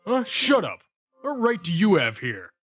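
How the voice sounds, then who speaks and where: A man's voice: high voice, huge pitch swings, measured pace, a bit bright, heavily acted. A man voicing a cocky, hot-tempered teenage bully in an anime-style dub, sneering and aggressive. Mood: angry. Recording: dull, band-limited sound.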